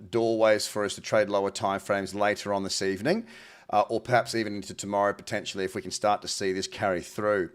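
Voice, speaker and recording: A man's voice: lowish voice, smooth. A man hosts a casual market rundown in an informal, colloquial way. His voice is a little nasal from a head cold, and he talks like a trader chatting with mates. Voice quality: slightly nasal. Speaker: host, crypto trading analyst. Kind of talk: casual market commentary to camera.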